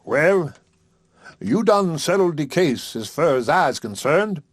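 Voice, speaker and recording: Guy putting on a smug preacher, drawly and pompous, pitched a bit up, dry close sound. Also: big pitch swings, takes it slowly, breathy edge, no room sound, exaggerated voice. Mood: courting. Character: self-satisfied male preacher courting a widow.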